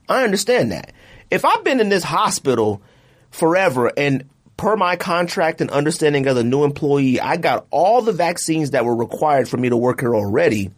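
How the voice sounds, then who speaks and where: A man hosting a podcast in a loose, colloquial, rambling way, full of conversational filler phrases. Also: a little high. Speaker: podcast host. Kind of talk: casual podcast chat.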